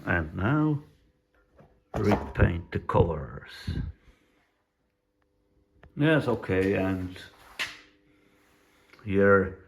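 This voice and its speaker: A man with a non-native English accent casually narrating a hands-on demonstration as a radio hobbyist, speaking haltingly in short, simple phrases. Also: low-pitched, expressive, vocal fry, slight background noise. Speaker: radio repair hobbyist presenting.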